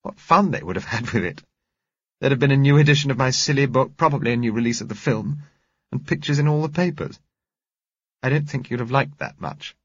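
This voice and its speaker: A man reading aloud, voicing a spirited young Englishwoman in clipped, brisk lines. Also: mid-range voice, breathy edge, no room sound, dull audio, voice pushed up, close to an everyday voice.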